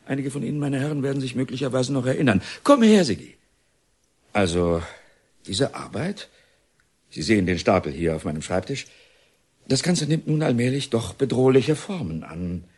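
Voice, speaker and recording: An actor playing an excitable, pompous and paternal male reformatory director in a German radio drama, halting and declamatory. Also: mid-range voice, slightly rough. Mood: jovial, lecturing.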